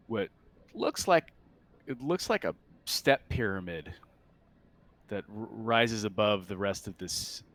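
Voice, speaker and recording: Man, lowish pitch, smooth clear tone, game master, improvised sci-fi narration, halting, thinking aloud. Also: fairly bright tone.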